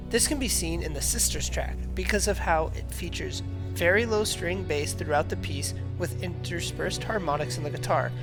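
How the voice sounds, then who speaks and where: A young man narrating a scripted video essay as a student presenting an analysis, reading steadily from a prepared text. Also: fairly high pitch. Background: music playing under the voice.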